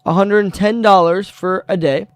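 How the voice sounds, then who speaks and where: Young male streamer, easygoing chat, smooth clear voice a little high, dry close sound. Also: normal speed, no echo. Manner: relaxed, easygoing, trails off mid-sentence. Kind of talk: casual chat.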